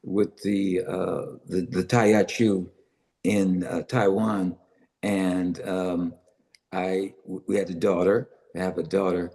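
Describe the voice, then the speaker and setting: Older man, from Los Angeles, low voice, halting, filler-heavy, interview guest. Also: mellow. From Los Angeles, United States. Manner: halting, many "uh" fillers and restarts. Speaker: author and publisher, guest. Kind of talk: conversational interview answers, then reading aloud.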